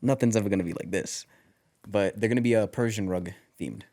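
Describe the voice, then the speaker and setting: A man's voice: on the low side, brisk, warm tone. A young man on a podcast as a guest, chatting in an easygoing way with many "like" fillers. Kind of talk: casual chat.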